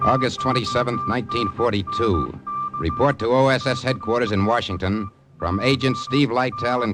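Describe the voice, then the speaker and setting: A cool American wartime agent with a low voice and lively, swinging intonation. He speaks in the clipped, official manner of vintage radio drama, probing and businesslike, on an old recording with music behind. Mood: measured, reporting. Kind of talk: 1940s-50s radio drama acting and narration.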